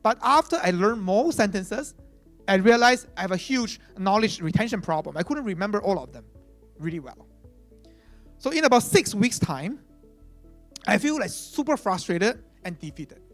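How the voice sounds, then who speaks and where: A man's voice: high-pitched, lively intonation, normal speed, faint music behind. A man whose first language is Chinese, giving a conference talk as chief product officer, speaking conversationally with many "uh" fillers and "right?" tags.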